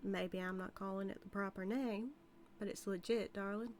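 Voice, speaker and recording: A woman's voice: medium pitch, steady tone, normal speed, a little mellow, a little hiss or hum, lightly acted. A woman playing a flirty, dominant outlaw cowgirl with a Southern US accent in a scripted audio roleplay, drawling and teasing. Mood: teasing, sly. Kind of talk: scripted audio roleplay, intimate character acting.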